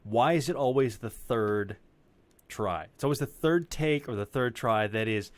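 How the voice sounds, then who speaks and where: A man's voice: mid-range voice, unhurried, no room sound. A man who works as a voice actor, chatting casually in an informal, exclamatory way with some swearing. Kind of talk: casual chat. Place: indoors, on a group video call.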